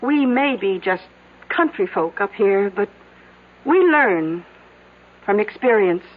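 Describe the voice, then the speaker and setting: An actress playing a dry, knowing small-town widow in an old-time radio drama, sardonic, deliberate and quietly ominous. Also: female voice, medium pitch, expressive, narrow, tinny audio. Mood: grave, ominous. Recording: noisy, band-limited vintage radio drama recording.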